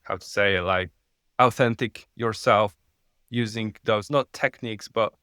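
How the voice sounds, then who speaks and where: A man with a Polish accent, a non-native English speaker, talking as a podcast guest, halting and searching for words. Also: fairly low pitch, bright, forceful tone, clean tone, dry recording, studio quality. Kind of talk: podcast interview.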